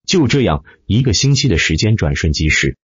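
A man's voice: sits low, very animated, brisk, a bit bright, husky, no room sound, dull audio. A man reading scripted fantasy fiction as a dramatic audiobook narrator.

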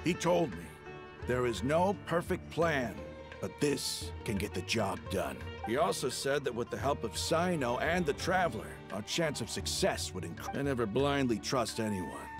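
An actor playing a hardened desert mercenary leader in a video game, passionate, familiar and persuasive in manner. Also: male voice, medium pitch, very animated, moderate pace, music in the background. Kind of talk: video game character acting.

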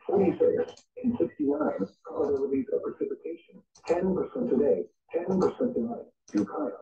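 A synthetic male announcer reads a weather forecast in an impersonal, clipped way. His voice sits a little high and has a gravelly creak. It comes through a tinny, narrow-band radio playing at a distance in a room. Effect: synthesized voice played over a weather radio. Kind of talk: scripted automated weather forecast reading.

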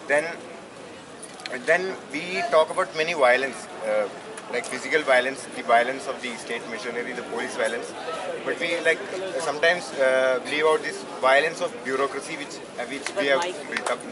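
A man in his twenties with an Indian accent gives an impromptu public address as a student speaker, haltingly repeating phrases as he searches for words. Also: mid-range voice. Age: 20s. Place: outdoors at night under a canopy. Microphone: handheld microphone, recorded from a distant camera. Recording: noisy outdoor PA, distant camera pickup.

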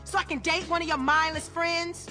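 Very high, sassy, indignant young sister. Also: female voice, steady tone, moderate pace, sharp tone, faint music behind. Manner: sassy, combative. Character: defiant, indignant young sister of gang brothers. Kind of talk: video game cutscene acting.

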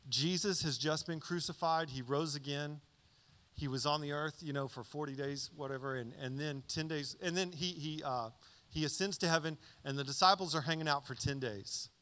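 Man, thirties, casual preaching style, handheld mic, church hall reverb. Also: on the high side. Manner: conversational, animated teaching with frequent "okay?" check-ins. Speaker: pastor. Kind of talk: sermon. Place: large church stage.

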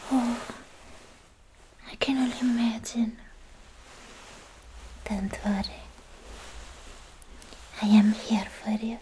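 Casting: actress as tender anime-style demon slayer girlfriend, young adult woman, breathy whisper, extremely unhurried, mellow tone, ASMR roleplay. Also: fairly high pitch, steady tone, poor audio. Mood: caring, adoring. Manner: slow, halting, affectionate murmuring. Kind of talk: ASMR personal attention roleplay. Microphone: close microphone, whispering near it. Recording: faint hiss, mouth clicks, close-up.

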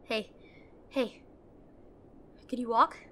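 A woman playing a brusque, protective and frightened tsundere girlfriend in a roleplay audio drama, speaking urgently and haltingly straight to the listener. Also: fairly high pitch, fairly even intonation, a bit bright, slight background noise. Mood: tense, urgent. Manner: bossy, urgent, emotional, halting.

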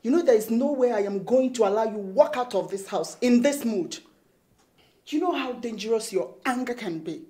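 A woman's voice: a little high, lively intonation, moderate pace, warm tone, lightly acted. An actress playing a worried, protective woman, pleading urgently in naturalistic film drama. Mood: firm, protective. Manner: pleading, urgent, repeats words. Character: worried, protective woman calming a volatile man.

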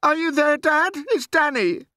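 A man's voice: high voice, very animated, normal speed, a bit bright, slightly rough, no echo, studio quality, voice pushed up, full character voice. A man voicing an anxious young boy from an audiobook story, calling for his father and asking after him in a pleading way. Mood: desperate calling. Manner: calls out pleadingly.

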